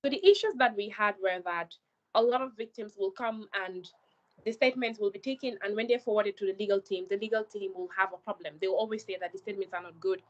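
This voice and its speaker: A woman's voice: medium pitch, highs cut off. A young Gambian woman with a Gambian accent, a scholarship mentor giving earnest, direct advice to applicants and repeating words for emphasis.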